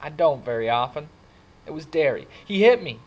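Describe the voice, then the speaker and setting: A man's voice: fairly high pitch, animated, normal speed, a little mellow, pitched above the performer's own voice. A man reading a storybook, voicing an emotional teenage boy who pleads and protests in a raised voice. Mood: upset, hurt. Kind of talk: dramatic audiobook character reading.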